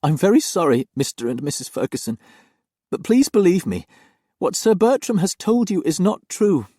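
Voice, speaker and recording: A man's voice: high-pitched, very animated, moderate pace, dark tone, husky, no room sound, studio quality, voice pushed up, heavily acted. A man voicing a frightened young woman nanny, pleading earnestly through tears.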